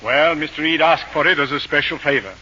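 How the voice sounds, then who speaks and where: High, forceful, blustering village father. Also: brisk. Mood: grumbling. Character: stern, blustering village butcher and family patriarch. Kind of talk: 1940s radio acting. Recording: dull, hissy old radio recording.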